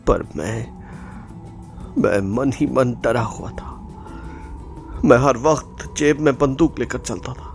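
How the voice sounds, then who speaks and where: A man's voice: mid-range voice, gravelly. A man with an Indian accent playing an anxious, secretive young bridegroom in an audio drama. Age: young adult. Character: anxious, haunted young bridegroom scientist, devoted but secretive. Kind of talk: Hindi audio drama acting and first-person narration.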